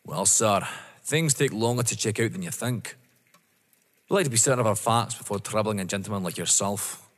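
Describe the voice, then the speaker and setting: An actor playing a polite, persistent police detective in an audio drama, deferential yet probing. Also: male voice, fairly low pitch, a little fast, a bit bright, lightly acted. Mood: insistent, polite. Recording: reverberant hall sound.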